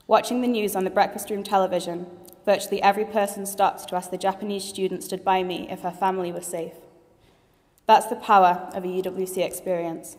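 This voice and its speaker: A woman's voice: medium pitch, level tone, brisk, a little mellow. A young woman in her late teens, a graduating student, giving a heartfelt formal graduation speech with a few light jokes in a large assembly hall. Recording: long reverb of a large hall.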